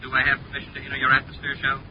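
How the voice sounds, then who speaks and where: An actor playing a formal space patrol pilot, clipped and official, in 1950s radio serial style. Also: male voice, mid-range voice, monotone, racing, close to an everyday voice. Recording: muffled, noisy 1950s radio broadcast.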